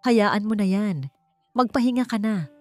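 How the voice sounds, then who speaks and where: A woman's voice: medium pitch, lively intonation, a little slow, soft background music, natural voice. A woman voicing a wealthy, caring male employer, concerned and reassuring, in a romance story. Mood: gentle concern.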